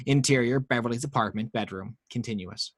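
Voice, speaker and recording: A man's voice: mid-range voice, brisk, dry recording. Man reading screenplay action lines.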